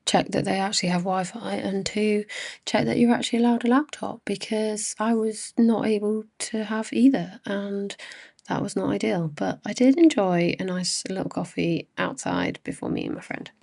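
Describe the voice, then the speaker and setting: Creaky-voiced young British woman narrating vlog. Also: medium pitch, moderate pace, darkish tone. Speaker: vlogger. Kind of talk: casual voice-over narration.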